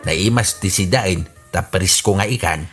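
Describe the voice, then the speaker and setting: A man's voice: deep voice, unhurried, fairly bright tone, faint music behind. A man with an Ilocano accent playing a blunt village man in a radio drama. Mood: friendly. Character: blunt middle-aged village man Ramos.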